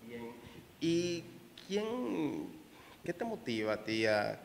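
Middle-aged interviewer, echoey room. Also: male voice, mid-range voice, big pitch swings, slow pace, creaky. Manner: plain questions, stalls and repeats words. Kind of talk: entertainment TV interview. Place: indoor event room with reverb. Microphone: handheld mic. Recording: echoey room with faint noise.

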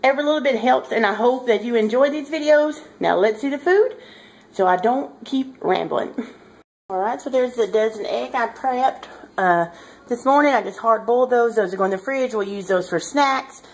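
A woman's voice: fairly high pitch, moderate pace, darkish tone, low-quality recording. A woman in her thirties chats casually and ramblingly to her viewers as a home cooking vlogger, recorded indoors on a handheld device. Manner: chatty, rambling, addressing viewers informally. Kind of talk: casual vlog chat to viewers. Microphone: handheld camera or phone at arm's length.

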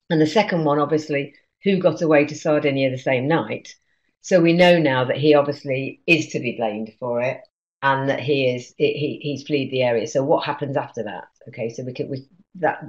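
A woman's voice: a bass voice, a little mellow. A middle-aged British woman, a teacher trainer, explaining informally in an online lesson and posing questions as she goes, on a video-call microphone. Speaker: teacher trainer presenting a webinar. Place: small indoor room, on a video call. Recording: dull, lo-fi webcam audio.

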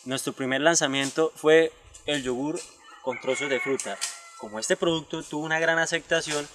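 A man's voice: on the high side, normal speed. A Colombian man of twenty-seven giving a prepared presentation to camera outdoors. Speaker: quality control leader of a small food business. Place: outdoors in countryside.

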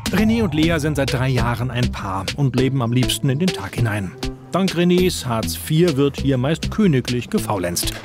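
A man's voice: mid-range voice, expressive, normal speed. A man reading scripted narration as the narrator of a TV documentary. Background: background music, some hip hop.

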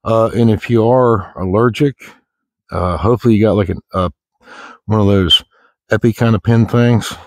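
Middle-aged American man, low voice, folksy and rambling outdoor vlogger, dry open-air sound. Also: moderate pace, a little mellow, no room sound. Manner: folksy, plain-spoken, rambling. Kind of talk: casual walk-and-talk chat. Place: outdoors in the woods, walking.

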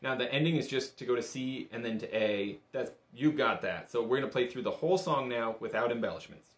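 A man's voice: mid-range voice, brisk. Easygoing male music instructor, echoey room. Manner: encouraging, easygoing, conversational. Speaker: bagpipe instructor. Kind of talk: casual instructional lesson. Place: small indoor room, seated at a table. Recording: roomy indoor echo.

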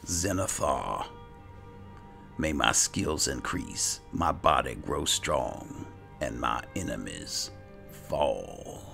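A man's voice: extremely low pitch, sing-song, extremely slow, vocal fry, bright, forceful tone. A man narrating his roleplay gameplay, musing aloud in a hesitant mutter that often trails off. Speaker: gaming host roleplaying his character. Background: music.

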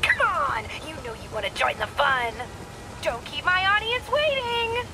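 Squealy, gleeful livestreamer villainess. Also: female voice, very high voice, big pitch swings, unhurried, creaky, exaggerated voice. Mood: gleeful hype. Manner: theatrical, perky, mocking. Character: gleeful, taunting young female livestreamer villain. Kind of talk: exaggerated streamer hype in a video game. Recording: loud city game noise behind.

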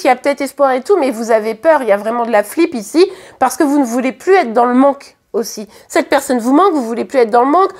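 A woman with a French accent and a high voice reads tarot cards to camera in a room at home. Her voice is a little hoarse, and she talks in a loose, chatty way, often stopping to restart a thought or fill a pause. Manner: chatty, hesitant, many fillers and restarts. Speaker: tarot card reader. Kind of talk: casual tarot reading to camera. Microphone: camera at the reading table.

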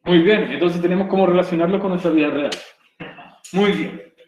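A man's voice: high-pitched, brisk, a little mellow, reverberant, dull audio. A man from Chile teaching an English class online in Chilean Spanish, chatty and jokey, often checking in with his students. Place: home room on a video call.

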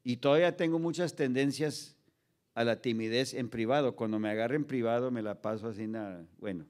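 Un pastor de unos cincuenta años, nacido en Los Ángeles, con acento mexicano-estadounidense, predica con humor en un escenario, con micrófono de pie, y cuenta historias imitando diálogos y mezclando frases en inglés. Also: male voice, medium pitch, fairly quick, a little mellow. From Los Angeles, United States. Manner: storytelling with acted dialogue, mixing English phrases. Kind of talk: humorous sermon to a children's ministry. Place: stage in a large indoor hall. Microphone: stand microphone.

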